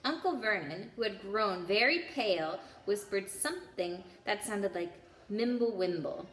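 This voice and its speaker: A woman narrates a children's book for story time. Her voice is bright and very animated, rising and falling expressively. The recording has a noticeable roomy echo. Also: medium pitch, moderate pace. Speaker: storytime reader.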